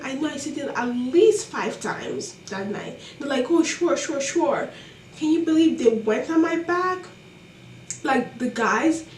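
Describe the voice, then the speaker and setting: A young woman with a non-American accent telling college stories casually, animated and exasperated, with many fillers. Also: high voice, roomy sound, far from the mic, slight background noise. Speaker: college student vlogger. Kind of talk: casual storytelling to camera.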